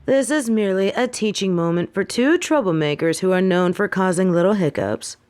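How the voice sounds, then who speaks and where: A woman voicing a stern, calculating headmistress of a wizard school in an audio drama, speaking in a formal, measured, imperious way. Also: medium pitch, darkish tone, lightly acted. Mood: cold, commanding. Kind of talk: scripted audio drama acting.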